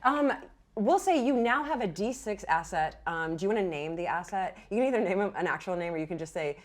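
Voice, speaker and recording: A woman running a tabletop game as game master, improvising the narration in a halting, thinking-aloud way. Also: medium pitch, lively intonation.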